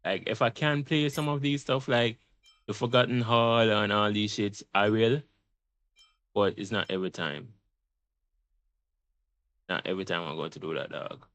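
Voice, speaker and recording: A man's voice: lowish voice, normal speed, long pauses, fairly bright tone, no room sound. A man talking as a game streamer, casual and slangy, with some swearing. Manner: slangy, profane. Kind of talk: casual livestream chat.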